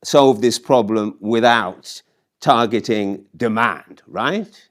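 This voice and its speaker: A man's voice: lowish voice, takes it slowly. A man hosting a conversational political discussion, probing and paraphrasing his guests provocatively. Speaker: discussion host.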